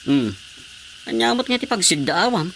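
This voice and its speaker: Hapless village councilor, high-pitched male voice, hesitant, self-excusing, comic radio drama, slight background noise. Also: very animated, moderate pace, fairly bright tone, poor audio. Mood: puzzled, resigned.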